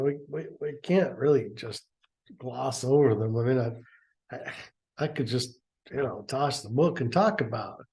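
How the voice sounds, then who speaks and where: Man, slow and sarcastic, rambling commentary over a book reading; mellow tone. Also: medium pitch. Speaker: reader and commentator.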